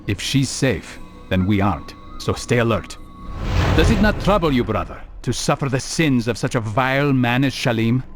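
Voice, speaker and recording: An actor playing a determined assassin in a video game, speaking in a measured, serious, probing manner. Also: male voice, mid-range voice, lively intonation, over music, natural voice. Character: calm, determined male assassin. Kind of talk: dramatic video game acting.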